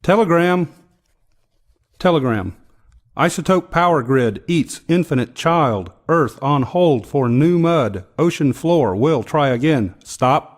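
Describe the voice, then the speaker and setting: A man's voice: fairly high pitch, lively intonation, slow pace. A man reading poetry aloud in a recitation style. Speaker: poetry reader.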